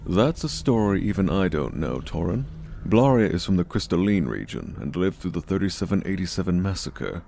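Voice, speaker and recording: A stern senior scientist for an audio drama. His low voice has a gravelly edge and swings expressively as he hands down condescending, measured orders. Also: big pitch swings, dark tone, a little hiss or hum, exaggerated voice. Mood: composed, measured. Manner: measured, authoritative, clipped orders.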